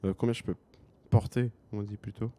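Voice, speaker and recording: Chatty French gamer, mellow low voice. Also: male voice, steady tone, a little fast. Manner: joking, chatty, thinking aloud. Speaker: gaming streamer. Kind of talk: let's play commentary.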